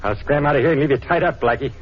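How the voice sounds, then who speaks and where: Smug, menacing radio gangster. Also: male voice, on the high side, a little fast, slightly rough. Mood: gloating, hurried. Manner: tough, slangy, taunting. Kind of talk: 1940s radio acting. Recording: dull, noisy 1940s radio recording.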